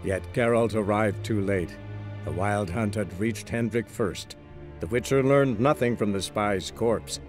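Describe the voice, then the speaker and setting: An actor playing a bard who narrates a friend's adventures in a fantasy game, in a measured, dramatic storybook style. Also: male voice, fairly low pitch. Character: male bard recounting his monster-hunter friend's adventures.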